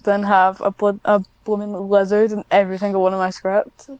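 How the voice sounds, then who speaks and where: A woman talks casually. Her voice is clean and smooth, recorded very dry. She wanders off track and pokes fun at herself. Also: mid-range voice, completely flat delivery, a little fast, darkish tone, no echo, highs cut off. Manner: rambling, self-deprecating, easily sidetracked. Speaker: podcast guest, ASMR script writer. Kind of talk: casual chat.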